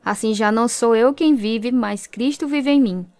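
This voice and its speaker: A woman's voice: a little high, normal speed, a little mellow, no room sound, close to an everyday voice. An actress with a Brazilian accent playing a devout, earnest young convert telling her story in a radio drama. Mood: earnest, firm. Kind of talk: radio drama narration and dialogue.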